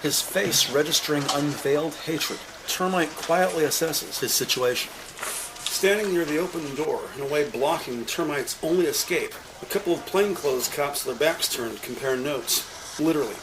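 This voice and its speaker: A man reading scripted, deliberate and detailed narration for an audio-described police drama. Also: on the high side, noisy background.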